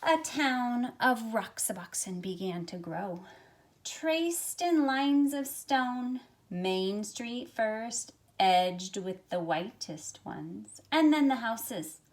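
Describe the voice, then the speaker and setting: A middle-aged woman reading a picture book aloud to young listeners in an expressive, measured storytelling way. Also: fairly high pitch. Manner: expressive, measured storytelling with drawn-out phrases and softened asides. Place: small carpeted room at home, indoors. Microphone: phone at arm's length.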